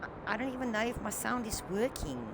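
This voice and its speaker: A woman's voice: a little high, a little fast, noisy background. A South African woman whose first language is Afrikaans, chatting casually as a game streamer in a rambling way with many fillers, speaking into a headset. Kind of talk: casual live-stream chat. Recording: voice over loud game music.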